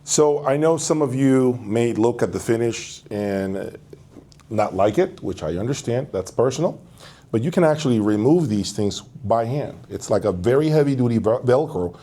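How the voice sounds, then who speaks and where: A man's voice: mid-range voice, animated, moderate pace, dark tone. A man hosting a casual product presentation, talking directly to viewers. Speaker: host of an audio review channel.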